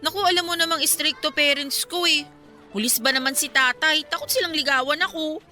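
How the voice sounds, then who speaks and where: A woman's voice: sits high, a little fast, sharp tone, faint music behind. A woman playing a single young woman secretly pining for her friend in a Filipino radio drama, speaking in a casual, teasing, colloquial way. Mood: wistful. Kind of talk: Tagalog radio drama dialogue.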